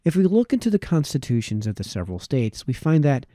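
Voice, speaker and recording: Dark, mellow man reading aloud expressively. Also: on the high side, very animated, fast, no echo, clean studio sound. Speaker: audiobook reader. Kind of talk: reading an old political text aloud.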